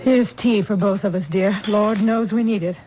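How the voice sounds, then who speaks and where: A woman's voice: mid-range voice, moderate pace, loud music behind the voice, lightly acted. An actress playing a worried middle-aged mother who coaxes and frets over her grown daughter, in a 1970s radio drama. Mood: anxious. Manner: fretful, coaxing. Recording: dull old radio broadcast recording.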